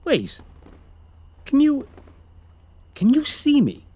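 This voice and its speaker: Quirky insecure monster, very animated pitch, hesitant, excitable, sheepish, horror audio drama. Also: male voice, drawn-out words, heavily acted. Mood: startled, curious. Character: quirky, sheepish, insecure male monster who loves cats.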